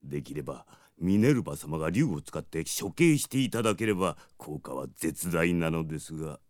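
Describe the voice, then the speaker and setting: A man's voice: very deep voice, lively intonation, a little fast, dry recording, clean studio sound. A man playing an arrogant, cruel middle-aged castle commander in a fantasy audio drama, formal and pompous. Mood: smugly obsequious.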